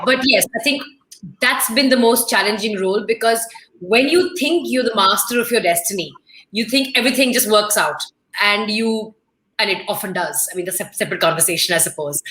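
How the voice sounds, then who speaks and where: Bright-voiced mother in an echoey room. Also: a little high, animated, a little fast. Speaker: panel guest. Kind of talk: panel conversation.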